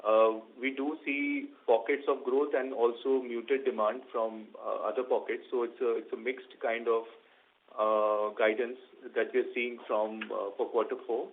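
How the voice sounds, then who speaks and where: A man with an Indian accent, a company executive answering questions on an earnings call in halting, measured corporate phrasing. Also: mid-range voice, level tone. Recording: narrow tinny conference phone line.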